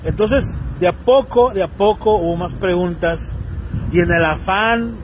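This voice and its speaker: A man's voice: sits high, unhurried, noisy background. A man in his thirties with a Mexican Spanish accent, giving a casual, slangy commentary rant to his phone camera outdoors and acting out the people he quotes. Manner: animated, slangy, acts out quoted voices. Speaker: vlogger. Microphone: phone selfie camera.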